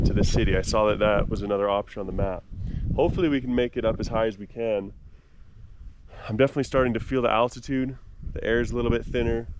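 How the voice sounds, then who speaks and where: A man's voice: medium pitch, noisy background. A man from Canada narrating a casual travel vlog outdoors on a mountain dirt road, chatty and upbeat, with frequent "uh" fillers. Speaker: travel vlogger.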